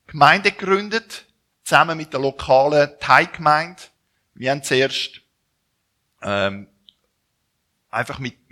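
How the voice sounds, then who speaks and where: A Zurich-accented man in his forties introduces himself to a church audience, often restarting and correcting his sentences as he goes. His voice sits a little high and rings bright and forward. He speaks informally, in a personal and unpolished way. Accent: Zurich Swiss German. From Zurich, Switzerland. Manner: halting, with restarts and self-corrections. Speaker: missionary speaker.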